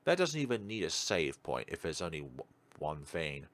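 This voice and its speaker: A man's voice: extremely low pitch, big pitch swings, normal speed, a bit bright. A man reading a visual novel aloud and commenting as a let's player, sometimes stumbling and correcting himself. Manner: stumbles over read lines and corrects himself. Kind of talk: game commentary and dramatic read-aloud narration.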